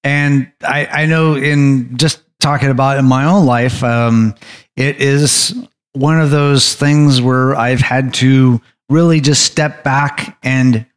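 A man leading a bible study group, teaching in a halting, staccato way with stops and restarts. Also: mid-range voice.

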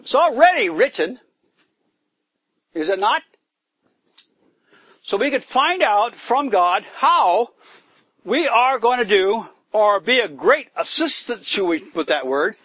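A man's voice: very high voice, sharp tone, no room sound. A man preaching a sermon to a congregation, rising into emphatic bursts and putting questions to his listeners. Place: church gathering in the woods.

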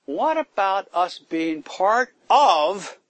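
A high-pitched man preaching a sermon, his voice climbing into forceful, emphatic bursts as he quizzes the congregation. The sound is close and dry, with almost no room around it. He pushes hard on key words. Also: sing-song, drawn-out words, no room sound. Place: church gathering in the woods.

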